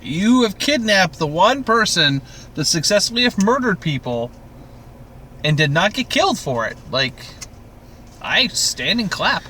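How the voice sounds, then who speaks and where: A man's voice: high-pitched, big pitch swings, unhurried, sharp tone, a little hiss or hum. A man from Canada co-hosting a podcast, chatting casually about TV in an exasperated, sweary, ranting way. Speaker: podcast co-host. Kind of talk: casual TV recap chat.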